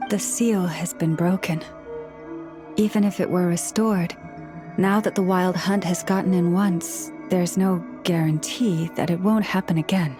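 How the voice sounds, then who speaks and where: A woman voicing a gentle, earnest young moon priestess in a video game, speaking softly and with measure. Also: lowish voice, fairly even intonation, over music, natural voice. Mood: grave. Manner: soft-spoken, earnest, measured.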